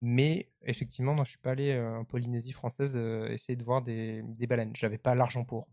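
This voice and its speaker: A man talks as the host of a live stream. His voice sits at an average pitch and is recorded very dry. He comments conversationally, often hesitating and feeling his way through each sentence. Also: little pitch movement, fairly quick, warm tone, no room sound. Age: 30s. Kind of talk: live commentary on web culture, casual press review.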